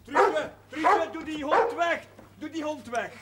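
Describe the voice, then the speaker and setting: A man playing a brisk, commanding yet encouraging village chaplain in a television drama. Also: extremely high pitch, lively intonation, heavily acted. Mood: urgent. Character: bossy, encouraging village chaplain dressed up as a helper. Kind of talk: Dutch 1970s television drama acting. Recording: echoey, noisy old television soundtrack.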